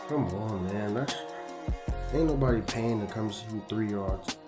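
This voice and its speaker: A man's voice: lowish voice, a little slow. A young man with a strained, fading voice, giving casual slangy commentary over a football video game and getting frustrated with the plays. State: losing his voice. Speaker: gaming YouTuber. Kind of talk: gameplay commentary. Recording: roomy echo with background music.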